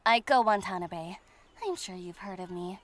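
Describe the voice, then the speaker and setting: A woman's voice: on the low side, moderate pace, natural voice. A woman playing an arrogant, spiteful rich girl rival with a cutting manner in an anime-style audio drama. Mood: boastful.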